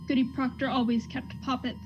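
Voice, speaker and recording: A slightly dull recording carries a woman acting an accusing young girl in a radio play. Her voice is high, her answers curt and coolly defiant. Faint music plays behind. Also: fairly quick, close to an everyday voice. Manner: curt, flat denials. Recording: dull, band-limited sound.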